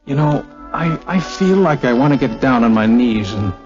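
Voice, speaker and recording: A man's voice: mid-range voice, lively intonation, moderate pace, music in the background, lightly acted. An American man playing a tender, wisecracking young army air officer in a 1940s radio drama. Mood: earnest, tender. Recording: muffled, lo-fi 1940s radio recording.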